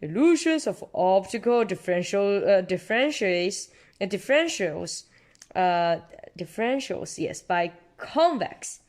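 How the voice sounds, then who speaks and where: Genial know-it-all cartoon pig father read by a woman, unhurried, pompous, matter-of-fact. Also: medium pitch, very animated, a little mellow. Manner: matter-of-fact, mock-learned. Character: easygoing father pig, pompously explaining things.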